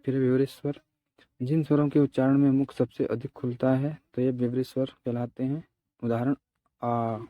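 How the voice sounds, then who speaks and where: A man's voice: mid-range voice, little pitch movement, moderate pace, no room sound, phone-quality sound. A man teaching in a methodical way, reading out lesson notes and explaining them, repeating key terms and examples.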